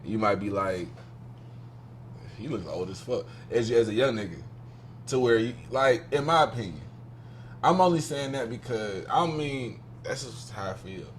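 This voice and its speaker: A young man in his twenties hosting a podcast, with a mid-pitched voice and a loose, emphatic way of talking. He swears freely and turns to the listeners directly. A faint hum runs under the recording. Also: expressive, normal speed. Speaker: podcast host. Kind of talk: casual podcast chat.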